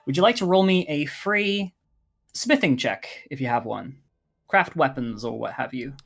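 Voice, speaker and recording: A man's voice: medium pitch, animated, normal speed, gravelly, a bit bright. Casual male game master. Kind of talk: casual tabletop game narration and chat.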